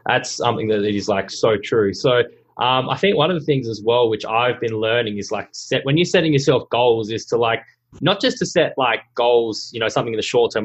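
A hoarse man with a cold puts friendly, chatty questions to his guest. His voice is husky and sits at a middle pitch. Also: lo-fi sound. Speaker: podcast host. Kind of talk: interview questions.

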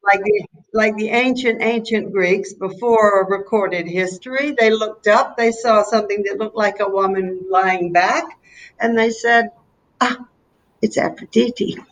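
Older Southern American woman reminiscing haltingly. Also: mid-range voice, poor audio. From North Carolina, USA. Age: 70s. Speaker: interview guest, painter. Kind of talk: casual interview chat.

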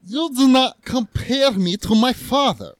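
Scolding, accusatory husband played by a man, scene-reading style, very animated delivery, dry sound. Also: fairly high pitch, moderate pace, dry recording. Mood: indignant. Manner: scornful, emphatic, accusatory. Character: bitter, scolding husband in a marital fight.

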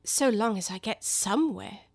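A woman's voice: mid-range voice, normal speed, creaky, no echo. Earnest young storybook girl, played by a woman, polite and proper, lilting questions, dry close sound. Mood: polite, curious.

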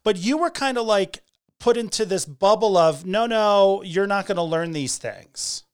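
A man's voice: high voice, lively intonation, unhurried, clear, resonant tone. A man hosting a conversation, asking probing, reflective questions. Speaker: host. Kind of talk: interview conversation.

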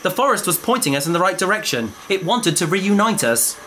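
An actor playing a bookish, curious young adventurer who talks eagerly, like a lecturer. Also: male voice, sits high, sing-song, brisk, fairly bright tone, slight background noise. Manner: enthusiastic, lecturing. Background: music.

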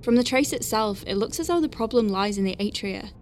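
A woman's voice: on the high side, animated, brisk, a little hiss or hum, close to an everyday voice. A woman playing a dry-witted, capable doctor on a space station, dictating clinical notes with wry conversational asides in an audio drama. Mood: clinical, concerned. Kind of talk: science-fiction audio drama, spoken log.

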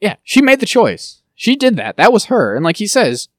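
A man co-hosting a podcast, chatting casually and quickly, with lively asides and quick mock voices. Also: high-pitched, no echo, clean studio sound. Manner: fast, animated, breaks into quick mock impressions. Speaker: podcast co-host. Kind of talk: casual chat about TV shows.

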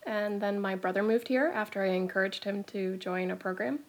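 A woman in her thirties from Florida with an American accent, chatting as a podcast guest and telling her story in a quick, chatty way. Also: medium pitch, steady tone. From Florida, United States. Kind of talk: casual interview chat.

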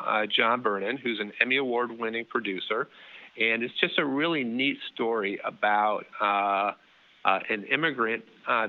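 A middle-aged man telling a family story as an interview guest, relaxed and full of fillers and restarts. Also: mid-range voice, creaky, phone-quality sound.

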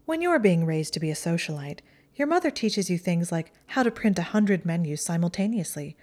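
A woman voicing a haughty, sharp-tongued teenage witch girl in a storybook reading, with a mocking, high-reaching delivery. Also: animated, fairly quick, close to an everyday voice. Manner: mocking, acidic, voice rises high.

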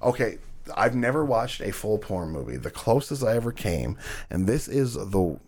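A man co-hosting a podcast in casual chat, with a loud voice that carries, profane and fond of telling stories. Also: lowish voice, sing-song, normal speed. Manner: profane, storytelling, laughing often. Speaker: podcast co-host.

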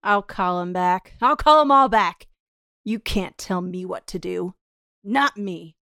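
A woman's voice: mid-range voice, slow pace, pushed and bright, dry recording. Scornful gold-digger wife, 1930s radio drama female actor: mid register, theatrical sneer, taunting slang, very dry sound. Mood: defiant fury. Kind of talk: 1930s radio horror melodrama table read.

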